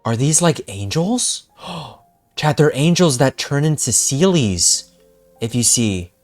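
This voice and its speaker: Lively young man reacting to a game. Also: mid-range voice, slow pace, faint music behind. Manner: slangy, exclamatory. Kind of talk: casual live reaction commentary.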